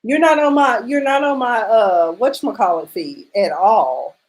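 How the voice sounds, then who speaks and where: High-voiced Mississippi woman host. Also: normal speed. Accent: Mississippi Southern. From rural Mississippi. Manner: excitable, loud exclamations, playful. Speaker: radio show host. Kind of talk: casual radio chat.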